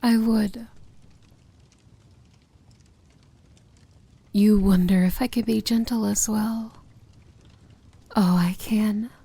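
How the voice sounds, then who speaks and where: A woman acts out a possessive princess of evil in an intimate fantasy ASMR roleplay. Her voice is breathy and dark with a creaky edge, often near a whisper. She commands and adores in the same deliberate, tender way. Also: medium pitch, fairly even intonation, no room sound, heavily acted. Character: feared demon-like villain princess, possessive, tender, in love.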